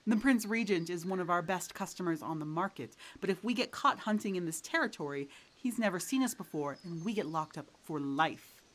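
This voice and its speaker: A woman's voice: lowish voice, expressive, fairly quick, few pauses. A woman playing a hard-bitten, irritable poacher huntress in an audio roleplay, curt, sardonic and threatening. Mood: irritated.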